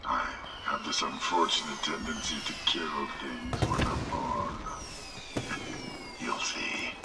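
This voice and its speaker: An actor playing a monstrous goblin crime lord in a video game, gravelly, grandiose, taunting and menacing. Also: male voice, a bass voice, steady tone, slow pace, very noisy. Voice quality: gravelly, guttural. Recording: loud music behind the voice.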